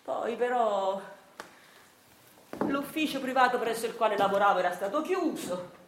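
A woman's voice: on the high side, expressive, moderate pace, close to an everyday voice. A woman as a rueful Neapolitan clerk, roomy echo. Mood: rueful, resigned. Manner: rueful, self-pitying storytelling. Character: meek henpecked Italian clerk of forty-seven, resigned and wistful. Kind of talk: theatrical radio monologue. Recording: roomy echo, faint noise.

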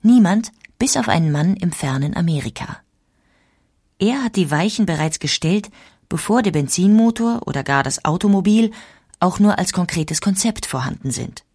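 A woman's voice: on the low side, lively intonation, moderate pace, darkish tone, slightly rough, no echo, studio quality. A woman narrating a documentary audiobook with dramatic emphasis.

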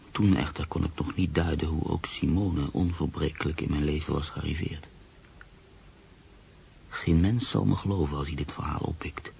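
A deep, gravelly, brooding male writer. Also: a little mellow, a little hiss or hum, full character voice. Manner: formal, hesitant, brooding. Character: proud, troubled middle-aged male writer, brooding and easily flustered. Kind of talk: radio drama dialogue and reflective narration. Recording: radio play with music underneath.

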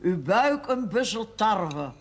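A woman's voice: medium pitch, sing-song, slow pace. An actress playing a pious, sardonic spinster aunt in her eighties in a television drama, solemnly declaiming like someone reciting scripture. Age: 80s. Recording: faintly noisy 1970s television soundtrack.

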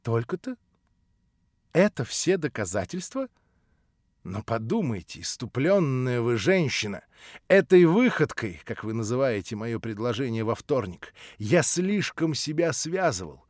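A man reading an audiobook voices an older nobleman, lowered, measured and cool. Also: very animated, a little mellow, no echo, clean studio sound. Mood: cold. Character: older nobleman, cold, condescending and smooth.

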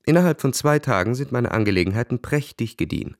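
An actor playing a proud, bored, cynical army officer who tells his story in a cool, measured, ironic way for a radio play. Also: medium pitch, lively intonation, no echo, studio quality, close to an everyday voice. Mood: brooding, confiding. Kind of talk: literary radio play narration and dialogue.

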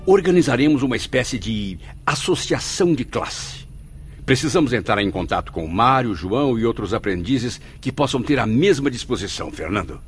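An actor playing an anguished, earnest spirit with wounded pride, speaking in formal literary diction in a radio drama. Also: male voice, mid-range voice, expressive, normal speed, faint music behind, natural voice.

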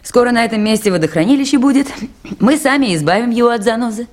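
Actress as a calm, stubborn young woman. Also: a little high, quick pace, natural voice. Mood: gently wry. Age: young adult. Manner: composed, earnest. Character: calm, stubborn, dutiful young woman river-station worker. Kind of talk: 1980s Soviet radio play acting.

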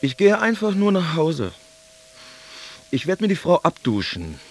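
An actor playing a lonely, childlike dreamer in a conversational radio drama monologue. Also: male voice, a little high, huge pitch swings, unhurried, a little mellow, heavily acted. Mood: hurt, stubborn. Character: lonely, childlike dreamer of a man, freshly separated.

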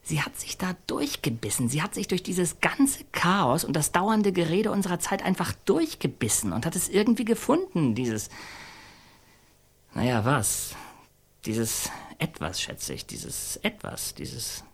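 An earnest storyteller in a radio play, speaking at a middling pitch in halting, colloquial phrases. The voice searches for words and circles back on them with naive, awestruck conviction. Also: wildly swooping pitch, vocal fry, husky, full character voice.

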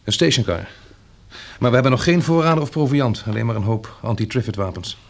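A man's voice: medium pitch, lively intonation, a little fast, creaky. An actor playing an earnest, practical man in his late twenties who survived a catastrophe, in a radio drama. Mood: plain, practical. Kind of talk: Dutch radio drama acting.

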